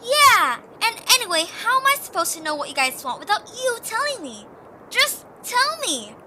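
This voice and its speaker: A woman's voice: extremely high pitch, sing-song, moderate pace, sharp tone, heavily acted. A woman voicing a small, timid, earnest young adventurer in an audio drama. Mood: exasperated. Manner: hesitant, earnest. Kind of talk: cartoonish audio drama acting. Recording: faint background noise.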